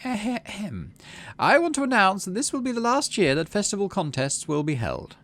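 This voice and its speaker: Prim colony administrator for a game, played by a man: raised pitch, formal and pointed delivery. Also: big pitch swings, normal speed, a bit bright, heavily acted. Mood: stern, official.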